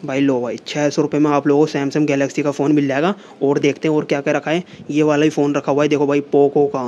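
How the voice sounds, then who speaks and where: Chatty young man vlogging, dark tone. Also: on the high side, completely flat delivery, fast talker, slight background noise. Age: young adult. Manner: chatty, repeats filler words constantly. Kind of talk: casual vlog talk to camera. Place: small room indoors, then a seller's home.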